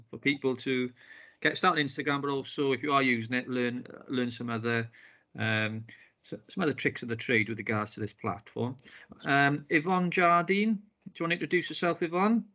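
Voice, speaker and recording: A man hosting an online business meeting, polite and filling pauses with "um" and "uh" as he restarts phrases. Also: medium pitch, pushed and bright. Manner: polite, with frequent fillers and restarts. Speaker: business club host. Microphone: video call. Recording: dull lo-fi video call audio.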